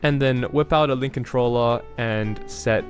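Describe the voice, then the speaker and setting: A man's voice: mid-range voice, a little slow, soft background music. A man explaining game-building steps one by one, speaking directly to the viewer. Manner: instructive, addresses the viewer directly. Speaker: tutorial narrator. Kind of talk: step-by-step game tutorial narration.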